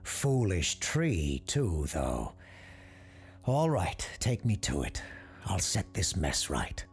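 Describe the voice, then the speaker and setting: Casting: stern old woman with magic , acted by a storyteller, commanding and curt, gravelly, with sweeping pitch. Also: sing-song, slow, heavily acted. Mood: curt, scolding. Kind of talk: audio storybook character.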